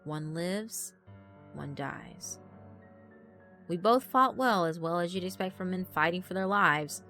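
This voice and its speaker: A woman narrates a scripted horror story in a grave, deliberate way. Her voice is on the low side yet bright and tense, with faint music playing behind her. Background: background music.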